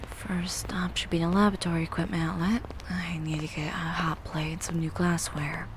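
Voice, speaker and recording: A woman playing a grumpy, eccentric young horse-girl scientist in an ASMR roleplay, with formal, haughty, drawn-out wording. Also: medium pitch, lightly acted. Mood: murmuring, preoccupied. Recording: clear background noise, ambient sound.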